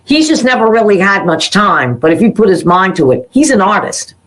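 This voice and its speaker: A middle-aged woman hosting a talk show, chatting warmly and telling stories about her own life. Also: mid-range voice, expressive, a little fast. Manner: chatty, storytelling, laughs easily. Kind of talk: casual interview chat.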